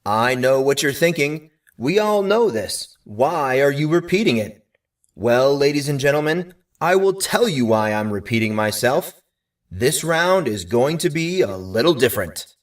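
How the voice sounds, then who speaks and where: A man voicing a showy game show host in audio fiction, with a theatrical, drawn-out announcer delivery. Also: medium pitch, animated, distant mic, close to an everyday voice. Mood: teasing, suspenseful.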